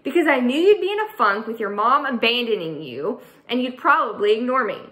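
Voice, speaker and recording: A woman's voice: on the high side, very animated, brisk, bright, forceful tone. A woman voicing a bossy, teasing preteen girl who is the narrator's best friend. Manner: teasing, pushy, dramatic. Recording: echoey room.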